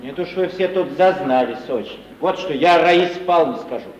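An actor playing an overgrown schoolboy dandy, brash, whiny and self-important, in 1950s Russian radio drama style. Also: male voice, a little high, normal speed, distant mic, close to an everyday voice. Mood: petulant, bossy. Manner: whiny, insolent, pompous. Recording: muffled, echoing old radio recording, slight noise.

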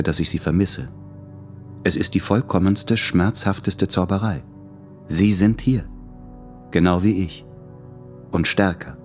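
An actor playing a tender, anxious man writing love letters in a radio drama, speaking in an earnest, measured and intense way. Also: deep voice, a little mellow. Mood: ardent, pained.

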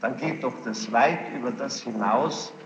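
Gravelly man questioning on noisy archival tape. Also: mid-range voice. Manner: probing, insistent, halting formal phrasing. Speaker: judge questioning a witness. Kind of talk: formal courtroom questioning. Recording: noisy, muffled archival tape, echoey hall.

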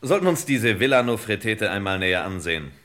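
An actor playing a shrewd, dry detective boss with clipped, swaggering deadpan delivery in an old crime radio play. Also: male voice, lowish voice, fairly quick. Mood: dry, knowing. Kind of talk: old German radio crime parody acting. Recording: noisy vintage radio play recording.

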